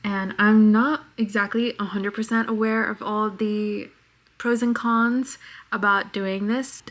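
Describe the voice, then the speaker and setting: A woman in her twenties from New York with a very soft voice, talking reflectively to the camera in a casual vlog at home. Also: mid-range voice. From New York, United States. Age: 20s. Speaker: vlogger.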